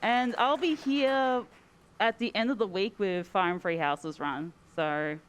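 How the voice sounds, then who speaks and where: A woman's voice: mid-range voice, unhurried, smooth. A woman with an Australian accent chatting casually after finishing a speedrun, hesitant and full of "um" and "like". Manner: hesitant, many "um" and "like" fillers. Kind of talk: casual post-run chat.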